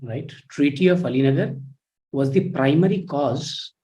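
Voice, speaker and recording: A man with an Indian English accent teaches history in a live online class, speaking in a soft, low-volume voice. His pitch sits a little high for a man, and he talks in a measured, instructive way. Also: rough recording. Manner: measured, instructive, reads out student comments.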